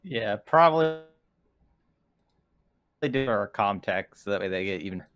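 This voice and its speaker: A man's voice: on the low side, animated, moderate pace, fairly bright tone. A man chatting casually as a player in a tabletop roleplaying game.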